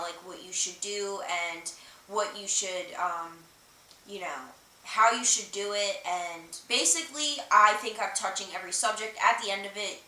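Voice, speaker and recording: A woman livestream host talks off the cuff in a bright, forward voice. She rambles informally, with many hesitations and filler words. The sound is roomy and distant from the microphone, with a faint hiss behind her. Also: medium pitch. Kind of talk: casual livestream chat, explaining and reading out viewer comments.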